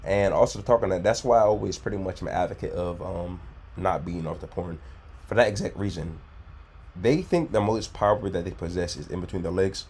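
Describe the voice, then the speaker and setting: A man with a low voice hosts a casual reaction video. He talks bluntly and preaches his views, with plenty of swearing and filler. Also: brisk, a little mellow, breathy edge. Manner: blunt, profane, preachy advice-giving.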